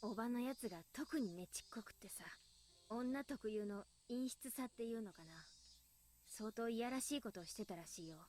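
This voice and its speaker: A woman's voice: a little high, fast, a little mellow, a little hiss or hum, close to an everyday voice. A woman voicing a frank, exasperated teenage girl in a visual novel, explaining things bluntly and wearily. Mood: bitter, grim. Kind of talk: Japanese visual novel voice acting. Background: game music.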